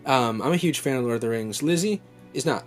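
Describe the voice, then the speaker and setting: A young man talks off the cuff with clear music playing behind him. His voice sits at an average pitch, and he rambles along with a fan's enthusiasm, doubling back to fix his own words. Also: expressive, quick pace. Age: young adult. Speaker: vlog host. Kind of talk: casual unscripted unboxing chat. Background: background music.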